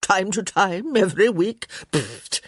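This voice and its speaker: An elderly countess, as acted by a female narrator, crotchety and imperious. Her pitch rises and swoops through sharp-tongued, emphatic pronouncements, with a husky edge. It is a storybook grande dame, recorded dry and close. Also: huge pitch swings, a little slow, no echo. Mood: brusque, dismissive.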